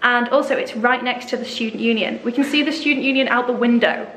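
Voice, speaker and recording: A woman in her twenties vlogging about her teacher training, talking loudly and brightly to a handheld camera. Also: on the high side, steady tone, quick pace, slight background noise, poor audio. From England. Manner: loud, bubbly and upbeat. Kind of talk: casual vlog chat. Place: indoor office and city street. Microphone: handheld camera at arm's length.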